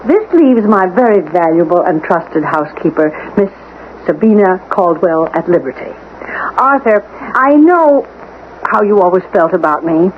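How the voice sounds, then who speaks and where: Brisk housekeeper, tinny old radio. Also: female voice, on the low side, lively intonation, a little hiss or hum. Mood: wistful, flattering. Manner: poised, formal and persistent. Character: brisk, self-assured, managing housekeeper to a gentleman. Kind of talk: 1970s radio drama acting.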